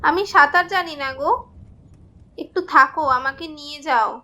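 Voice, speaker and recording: Horror audio drama: young dead bride's spirit played by a woman, bright, piercing, vengeful, echoing over music. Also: sits high, normal speed, slight background noise. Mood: pleading. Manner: accusing, dramatic.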